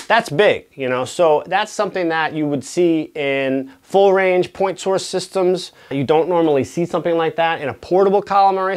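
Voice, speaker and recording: A man giving a casual product review, explaining things conversationally and often checking in with the listener. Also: fairly high pitch.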